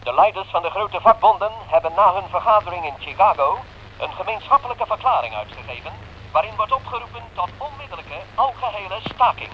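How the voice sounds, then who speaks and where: An actor playing an earnest announcer of a rebel radio station, proclaiming a formal scripted bulletin in a radio play. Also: male voice, high-pitched, animated, fairly quick. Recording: muffled noisy radio broadcast.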